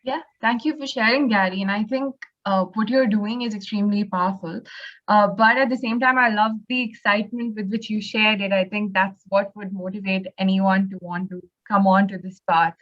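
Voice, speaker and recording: Woman host on a podcast, polite and measured, kinda dull muffled audio. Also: medium pitch, steady tone. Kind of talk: podcast hosting.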